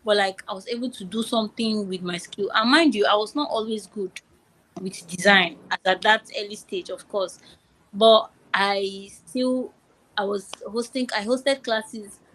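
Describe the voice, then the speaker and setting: Young Nigerian woman, halting and repetitive. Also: medium pitch, steady tone, fairly bright tone, rough recording. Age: young adult. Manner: repeats phrases, many fillers and restarts. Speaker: guest. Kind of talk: podcast interview.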